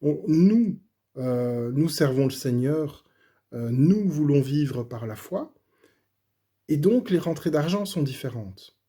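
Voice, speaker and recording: Hoarse, mellow-voiced man giving testimony. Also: fairly high pitch, animated, takes it slowly. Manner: hesitant, many fillers and restarts. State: slightly hoarse. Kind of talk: personal testimony, spoken casually.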